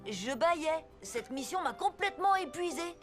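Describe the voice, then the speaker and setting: A woman playing a scheming, cocky eleven-year-old schoolboy in a French animated cartoon, boastful and exaggerated. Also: sits high, normal speed, music in the background. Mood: flustered, exhausted.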